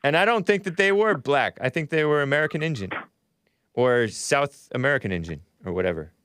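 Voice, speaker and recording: A man's voice: mid-range voice, animated, a bit bright, clear, resonant tone. Laid-back male call-in show host. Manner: laid-back, laughs often, quick sign-offs. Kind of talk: casual call-in talk.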